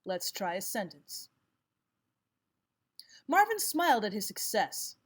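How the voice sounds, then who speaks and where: A woman teacher giving scripted instructional narration, explaining and reading examples out deliberately. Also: medium pitch, very animated, slightly rough. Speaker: language arts teacher.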